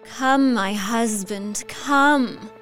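Seductive undead vampire woman, gothic audio drama: hungry, beckoning, extremely slow, over prominent music. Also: a little high, fairly bright tone. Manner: languid, drawn-out, beckoning calls.

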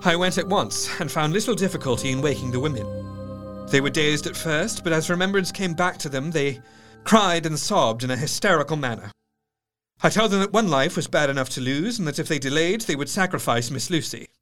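A solemn, higher-voiced Victorian doctor narrating. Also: male voice, expressive, fairly bright tone, soft background music. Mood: earnest, tense. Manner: measured, solemn, literary. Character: grave, shaken Victorian male doctor recounting his diary. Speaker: actor narrating in character. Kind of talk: dramatic scripted first-person narration.

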